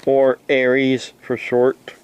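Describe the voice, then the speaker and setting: Slow, halting man talking to camera. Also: mid-range voice, monotone, clean tone, dull audio. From United States. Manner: halting, with long pauses between short phrases. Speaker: amateur radio operator and preacher making a video. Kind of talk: unscripted explanatory vlog.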